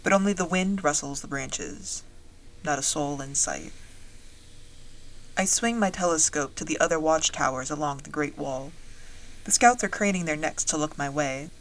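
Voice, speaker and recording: A woman playing a wry dragon-riding soldier, narrating a fantasy audio drama in the first person in a measured storytelling way. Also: low voice, rough recording. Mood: composed, watchful.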